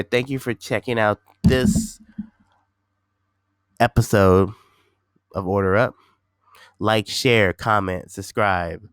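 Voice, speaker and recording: A man's voice: on the low side, smooth, dry recording, studio quality. A man hosting his own podcast, sounding nasal and congested from a cold, rambling casually with repeats and fillers. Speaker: podcast host. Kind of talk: casual solo podcast talk.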